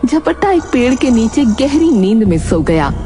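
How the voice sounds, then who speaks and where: A woman's voice: fairly high pitch, big pitch swings, moderate pace. A woman delivering scripted narration for a children's cartoon story. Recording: loud music behind.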